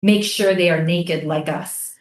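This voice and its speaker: A woman's voice: on the low side, steady tone, a little slow, a little hiss or hum, close to an everyday voice. Echoey, defiant native islander, acted by a woman. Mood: solemn. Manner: incantatory, repetitive pleading. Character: defiant, mournful native islander pleading for stolen land. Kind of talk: theatrical staged reading. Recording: echoey room.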